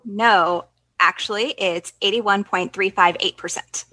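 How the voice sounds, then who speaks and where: Radio comedy science commander, female actor: brisk, self-assured, prickly, sharp husky voice, dry sound. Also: medium pitch, steady tone, dry recording. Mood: confident, brisk. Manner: brisk, confident, quick to bristle. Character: capable, feisty female space-station science commander. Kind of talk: comedy sci-fi radio acting.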